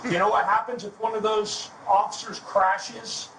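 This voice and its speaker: Blunt, high-voiced male officer, echoey bodycam. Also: little pitch movement, normal speed, a loud crowd. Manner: blunt, scolding. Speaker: police officer. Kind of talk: stern on-scene lecture. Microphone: police bodycam. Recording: echoey, noisy distant bodycam audio.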